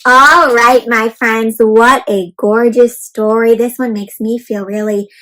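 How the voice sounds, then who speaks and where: A woman hosting an interactive read-aloud for children, speaking in an animated, child-directed way with questions and exclamations. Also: fairly high pitch, takes it slowly. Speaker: host reading a picture book aloud to children.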